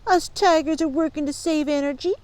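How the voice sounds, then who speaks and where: A woman's voice: high voice, fairly quick, darkish tone, dry recording, voice pushed up, natural voice. A woman voicing a blustering boy bully in a children's storybook, with slangy, sneering taunts. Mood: mock-sincere.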